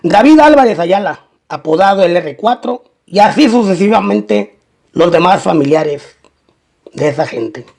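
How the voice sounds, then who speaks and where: A man's voice: high-pitched, lively intonation, measured pace, gravelly, a little mellow. A man reading a scripted documentary-style crime narration.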